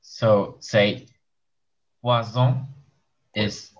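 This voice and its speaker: Slow-talking man, dull lo-fi audio. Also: fairly low pitch, fairly even intonation. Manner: tries words out, thinks aloud. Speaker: student. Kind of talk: online class conversation.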